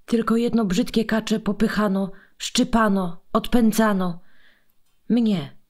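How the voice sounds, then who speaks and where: A sad lil outcast duckling, plaintive, medium pitch, storybook radio play. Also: female voice, steady tone, slow pace, a little mellow. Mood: sad, wounded. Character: sad, rejected young ugly duckling. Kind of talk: radio play fairy-tale acting.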